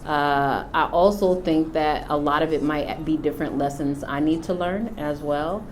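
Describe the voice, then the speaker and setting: A woman with a deep, low voice speaks slowly and thoughtfully, pausing often as she reflects on her work. She is a founder from the United States, and a faint hum sits under the recording. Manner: unhurried, thoughtful, many filler pauses. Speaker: company founder and CEO. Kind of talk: reflective personal testimony.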